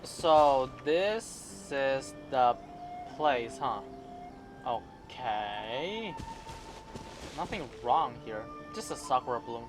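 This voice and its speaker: A man's voice: medium pitch, animated, very slow. A man giving casual gameplay reactions as a video host, slangy and full of incredulous interjections. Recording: game music loud behind voice.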